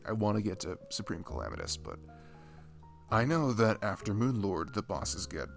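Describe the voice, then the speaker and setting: A man's voice: on the low side, gravelly, full character voice. A man playing a shy, dorky, caring best friend in an intimate roleplay ASMR, rambling and hesitant, with small stammers and apologies. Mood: nervous, enthusiastic. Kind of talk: intimate roleplay ASMR, mimicking casual one-sided chat.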